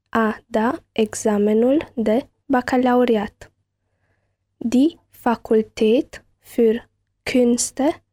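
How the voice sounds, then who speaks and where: A woman reading a bilingual language-lesson dialogue and word list with careful, deliberate enunciation. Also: fairly high pitch, mellow.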